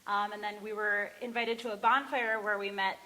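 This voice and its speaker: A woman's voice: medium pitch, steady tone, pushed and bright, slight background noise. A woman talking casually and reflectively between songs at a live barn performance, searching for her words. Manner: halting, informal, searching for words. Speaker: singer-songwriter introducing songs. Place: barn, live before a small audience. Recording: live in reverberant barn, music behind.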